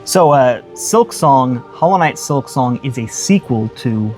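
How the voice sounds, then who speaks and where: A man's voice: medium pitch, expressive, a little slow, a little mellow, soft background music. A man co-hosting a game review show, speaking conversationally in an earnest, emphatic way.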